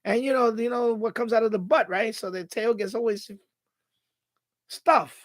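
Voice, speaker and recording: High-pitched New man. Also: moderate pace, no room sound. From Bronx, New York City, USA. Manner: conversational, repeats himself, thinks aloud. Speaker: live stream host. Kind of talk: casual rambling live-stream chat.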